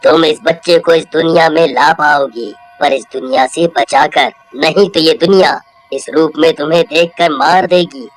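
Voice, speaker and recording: A wise old holy hermit put on by a woman in a horror cartoon. The voice drops low and speaks with solemn, measured calm over faint music. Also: dry recording. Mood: solemn, reassuring. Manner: solemn, measured, all-knowing. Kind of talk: Hindi horror cartoon voice acting.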